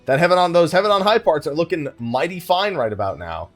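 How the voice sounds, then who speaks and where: A man's voice: on the high side, expressive, quick pace, fairly bright tone, soft background music. A man hosting a let's play with casual gaming commentary, thinking aloud and muttering asides to himself.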